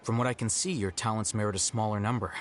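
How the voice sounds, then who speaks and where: A man's voice: on the low side, steady tone, fast talker, a little hiss or hum, natural voice. A man playing a cool, blunt intellectual in a video game, composed, articulate and matter-of-fact. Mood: composed, skeptical.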